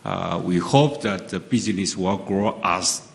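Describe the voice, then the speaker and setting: A man's voice: low-pitched, unhurried, gravelly. A man speaking as a company executive, giving formal answers on an earnings conference call. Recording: reverberant hall with faint noise.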